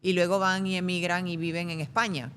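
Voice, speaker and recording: Low-voiced Venezuelan woman, reflective. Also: level tone, brisk, fairly bright tone. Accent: Venezuelan Spanish. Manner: thoughtful, with frequent hesitations and restarts. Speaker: guest. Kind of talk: reflective interview conversation. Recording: faint background rumble.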